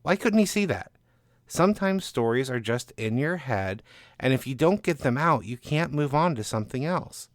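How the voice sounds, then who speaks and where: A man's voice: mid-range voice, expressive, normal speed, no echo, studio quality. A man hosting a fiction podcast and reading a scripted first-person short story as narrator.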